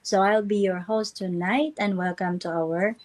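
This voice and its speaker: A woman's voice: medium pitch, fairly even intonation, moderate pace. A Filipina woman hosting a live online panel, introducing guests and asking questions with many fillers. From Philippines. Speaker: host.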